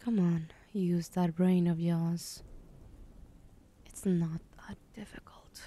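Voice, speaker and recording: A woman's voice: lowish voice, steady tone, slow pace, mellow, slight background noise. A woman playing a teasing, menacing female villain in an ASMR roleplay, whispering breathily with a taunting, condescending manner. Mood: teasing, smug.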